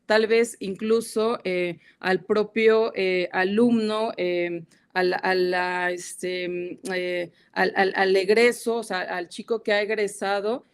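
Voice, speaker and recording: A woman's voice: medium pitch, steady tone, slow, clean tone. A woman with a Mexican accent giving an academic panel talk over a video call, hesitant and full of fillers and restarts. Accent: Mexican Spanish. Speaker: guest academic speaker.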